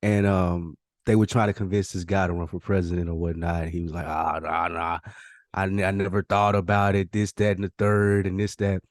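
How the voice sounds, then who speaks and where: An American man with a low voice chats as a podcast host in a dry, close recording. He tells stories in loose slang and voices other people's replies as he goes. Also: little pitch movement, normal speed, dry recording. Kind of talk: casual chat.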